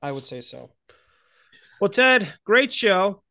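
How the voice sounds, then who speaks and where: A man's voice: high-pitched, big pitch swings, slow, gravelly, sharp tone, dry recording. A middle-aged American man talking casually as a podcast co-host. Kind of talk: casual chat.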